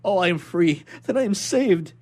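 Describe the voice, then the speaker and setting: A man acting a desperate, high-pitched young prisoner, pleading. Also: expressive, moderate pace, a little mellow. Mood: desperate hope. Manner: impassioned, earnest, imploring, formally polite. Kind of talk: dramatic audiobook character reading.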